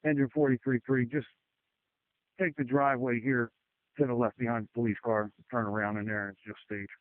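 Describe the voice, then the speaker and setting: A thin, band-limited two-way radio signal with no room echo carries a man's mid-pitched voice. He is a fire officer in the field, reporting in a terse, matter-of-fact, procedural way. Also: little pitch movement, a little fast. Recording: phone-like narrowband two-way radio.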